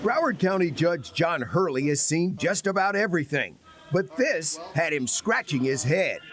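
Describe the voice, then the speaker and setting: A man's voice: fairly high pitch, big pitch swings, moderate pace, fairly bright tone. An American man delivering scripted investigative news narration as a television reporter.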